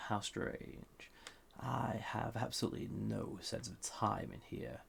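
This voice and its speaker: A man acts out a banished villain in an audio roleplay, speaking in a low voice thick with gravelly fry. He takes his time, husky and seductive. He sounds dangerous and smugly superior to the humans he flirts with. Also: full character voice. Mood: smug, flirtatious. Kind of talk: roleplay audio drama monologue.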